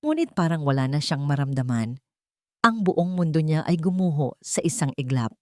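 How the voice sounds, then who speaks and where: A woman narrator reading a scripted romance story in a measured, dramatic storytelling manner. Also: low voice, sing-song, dry recording, clean studio sound.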